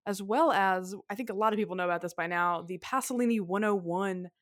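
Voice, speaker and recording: A woman co-hosting a relaxed film podcast, talking in a chatty way with frequent laughs and self-corrections. Her voice sits at a middle pitch. The sound is dry and close, with no room around it. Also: fairly quick, dry recording. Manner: chatty, laughs often, trails off and restarts. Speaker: podcast co-host. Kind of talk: casual film podcast chat.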